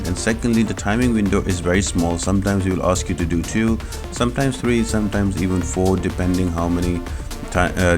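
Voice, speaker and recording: A man with a low-pitched voice hosts a gaming walkthrough. He explains things step by step in an instructional way, with faint music playing behind him. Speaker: gaming video host. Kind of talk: walkthrough commentary.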